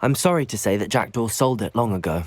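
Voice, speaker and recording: A man's voice: on the low side, expressive, fast, no room sound. An actor playing a polite, curious young male wizard student in a video game, with an earnest manner. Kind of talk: video game character acting.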